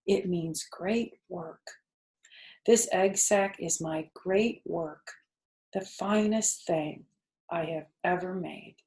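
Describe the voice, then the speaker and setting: A woman voicing an aging female spider for a children's storybook, weak and quiet, dignified and resigned. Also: on the low side, slow. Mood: proud, patient.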